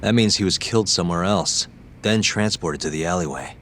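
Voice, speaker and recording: An actor playing a calm, persistent private detective in a video game, speaking in a measured, matter-of-fact, probing way. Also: male voice, deep voice, a little hiss or hum. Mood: calm, explaining. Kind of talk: English video game dub acting.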